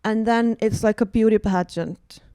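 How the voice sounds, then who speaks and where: A woman's voice: a little high, fairly even intonation, moderate pace, warm tone, smooth, no echo, clean studio sound. A woman with an Icelandic accent, a guest comedian telling wry, self-deprecating stories in casual podcast chat, with many fillers.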